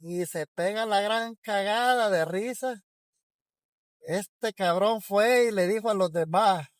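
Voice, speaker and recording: A man's voice: sits high, slow, dry recording, studio quality. A Salvadoran man in his forties tells a personal story in a loose, conversational way, often restarting his sentences. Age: 40s.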